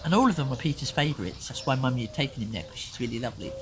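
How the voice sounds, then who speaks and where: A woman telling an improvised bedtime story to a child, chatty and expressive, with asides to the listener. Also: rumbling low, racing. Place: in a tent.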